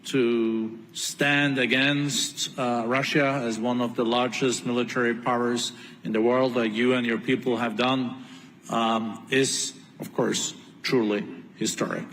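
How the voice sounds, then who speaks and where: A man moderating an onstage interview in a packed conference hall, speaking haltingly with many filler pauses. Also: mid-range voice, little pitch movement, fairly bright tone. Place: large conference hall with audience. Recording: long hall reverb, faint ambience.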